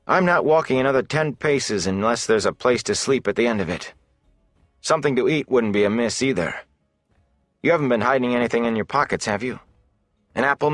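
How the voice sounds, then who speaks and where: A man's voice: medium pitch, fairly bright tone, no echo, studio quality, pitched above the performer's own voice, close to an everyday voice. A man voicing a grumbling, anxious young traveller in a fantasy audiobook, with a muttering, complaining manner.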